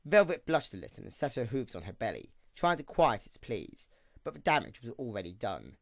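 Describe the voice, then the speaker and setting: A man's voice: medium pitch, very animated, a little fast, vocal fry. A man reading scripted story narration for an audio drama.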